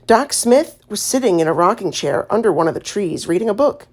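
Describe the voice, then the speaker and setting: A female audiobook narrator with a medium-pitched voice. She reads scripted children's fiction aloud in an expressive storytelling style. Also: brisk.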